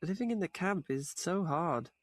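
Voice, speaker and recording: A man telling his story for a charity video, talking quietly and sadly. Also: high-pitched, lively intonation, moderate pace, no room sound. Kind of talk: subdued personal testimony. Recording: dry clip played from a video.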